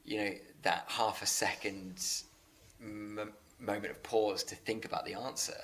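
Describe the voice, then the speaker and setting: A man in a conversational interview, speaking earnestly and haltingly, often repeating words as he works through a thought. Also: lowish voice, steady tone, fairly bright tone. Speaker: interviewer and business leader.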